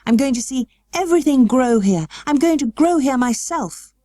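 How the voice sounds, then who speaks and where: A woman voicing a frail, imperious, excitable young upper-class boy in an audiobook, well-spoken and emphatic. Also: sits high, normal speed, no echo, clean studio sound, pitched above the performer's own voice. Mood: eager, determined. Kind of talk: audiobook character acting.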